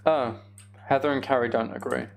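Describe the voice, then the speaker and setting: A British man with a noticeably creaky, mid-pitched voice streams a video game. He talks casually and wryly, often muttering to himself as he plays. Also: fairly even intonation, fairly quick, a little hiss or hum. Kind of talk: casual Minecraft playthrough commentary, chatting with viewers.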